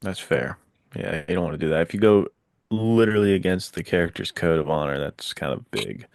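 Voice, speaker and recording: A man's voice: sits low, animated, a little fast, gravelly, darkish tone, slight background noise. A man hosting a casual podcast, talking in an informal, slangy and enthusiastic way.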